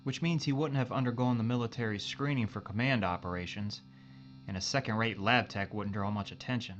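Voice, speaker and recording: A man's voice: on the low side, a little fast, a bit bright, lo-fi sound, slight background noise, natural voice. Man playing a wry, casual spaceship crewman. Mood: concerned, curious. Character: loyal, wry male spaceship crewman and tinkerer. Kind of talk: audio drama acting.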